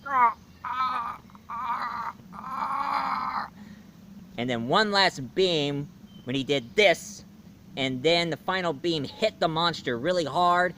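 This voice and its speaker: A man reviewing a film in a casual, animated way, retelling the plot and acting out scenes as he goes. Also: fairly high pitch, a little hiss or hum. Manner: animated storyteller, acts out scenes.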